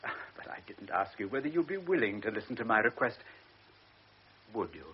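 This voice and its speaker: A man's voice: lowish voice, very animated, moderate pace. An actor playing an older wealthy baron, a doting father who speaks hesitantly and apologetically, in a classic radio drama. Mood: anxious, pleading. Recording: dull old radio recording with faint hiss.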